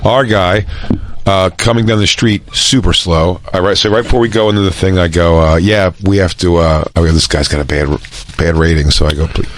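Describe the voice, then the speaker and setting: A man's voice: sits low, fairly even intonation, a little fast. A man hosting a comedy podcast, telling stories in conversational, slangy talk with some swearing. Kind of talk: casual comic storytelling.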